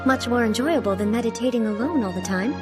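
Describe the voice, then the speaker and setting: A woman voicing an almighty female ruler in a video game, formal, measured and aloof yet curious. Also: a little high, lightly acted. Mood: pleased, curious. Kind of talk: scripted video game dialogue. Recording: background music under the voice.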